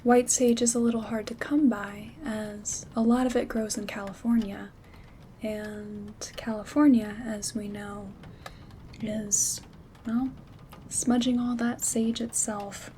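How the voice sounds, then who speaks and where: A woman playing a gentle, caring witch herbalist in an ASMR roleplay, soft-spoken and often whispering in a soothing, intimate way. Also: on the high side, level tone, takes it slowly. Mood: calm, caring. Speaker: ASMR performer. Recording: soft rain and handling noise. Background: rain sounds, craft rustling.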